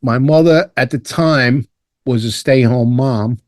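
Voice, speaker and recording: A man's voice: medium pitch, slow, no echo. Older New man, dry close sound. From Ireland, raised in Brooklyn. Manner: relaxed, rambling, with restarts and asides. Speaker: guest, retired fire lieutenant. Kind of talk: casual storytelling interview.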